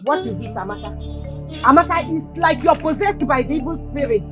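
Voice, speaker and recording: A woman's voice: high voice, lively intonation, brisk, over music. A woman with a Nigerian accent acting an indignant, scolding village woman in a film drama, firing off rhetorical questions.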